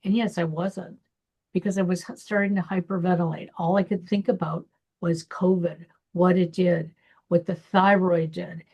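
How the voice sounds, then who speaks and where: Gravelly-voiced woman podcast host. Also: on the low side, flat delivery, normal speed, dark tone. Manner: reflective, personal storytelling. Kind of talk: personal conversation.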